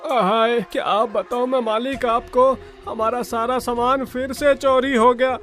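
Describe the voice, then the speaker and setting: A man's voice: extremely high pitch, steady tone, measured pace, fairly bright tone, faint music behind. A man voicing a scheming, whining servant in a moral-story cartoon, pleading and drawing out his words. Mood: pained pleading.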